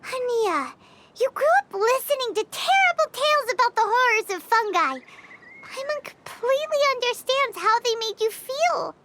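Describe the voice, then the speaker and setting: Tiny fairy sidekick, extremely high squeaky voice, spirited, excitable, swooping intonation, video game. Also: female voice, moderate pace, background noise. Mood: earnest. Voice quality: squeaky, childlike. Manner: excitable, speaks of self in third person. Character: small floating childlike fairy companion, chatty, spirited. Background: game music.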